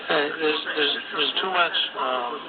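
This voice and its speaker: A man giving unscripted commentary as a radio panelist, halting and repeating his words. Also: on the high side. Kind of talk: unscripted political and economic commentary. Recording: phone-like shortwave radio, loud static. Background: loud radio static.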